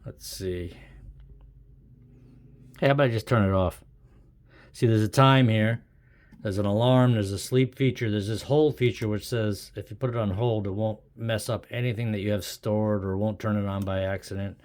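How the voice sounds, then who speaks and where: A man's voice: on the low side, fairly even intonation, normal speed, a little mellow. A man thinking aloud in a puzzled mutter, giving casual, unscripted hands-on commentary as a hobbyist. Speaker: hobbyist demonstrating a radio. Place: indoors at a wooden tabletop. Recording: noisy room with radio static. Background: radio noise.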